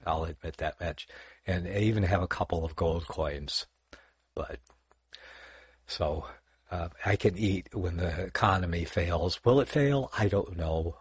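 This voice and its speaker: An older man talks through scripture on a casual livestream, wandering off and correcting himself as he goes. His voice sits very low with a husky edge. The sound is dry and close, with no room echo. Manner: rambling, self-correcting, lots of fillers. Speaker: online Bible teacher.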